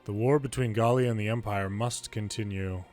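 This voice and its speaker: A man's voice: on the low side, steady tone, moderate pace, darkish tone, soft background music. A man reading video game dialogue aloud in English as the narrator of a longplay.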